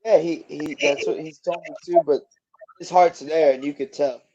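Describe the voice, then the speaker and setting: Young man, relaxed rambling talk, slightly high mellow voice, muffled lo-fi sound. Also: moderate pace. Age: 20s. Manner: easygoing, rambling, with many fillers and restarts. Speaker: guest boxer. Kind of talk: casual interview chat.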